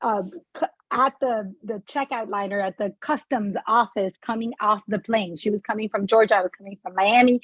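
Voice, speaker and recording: A woman in her forties hosting an interview, telling stories in an animated way over a video call. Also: medium pitch, breathy edge, no room sound, lo-fi sound. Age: 40s. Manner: animated, storytelling. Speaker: podcast host. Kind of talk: conversational interview.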